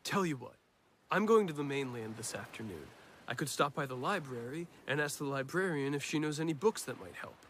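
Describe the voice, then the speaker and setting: A man playing a friendly, knowledgeable young man in an animated film, explaining things in an easygoing way over music. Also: medium pitch, fairly quick, a little hiss or hum, lightly acted. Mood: obliging. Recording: loud music under the dialogue. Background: loud music.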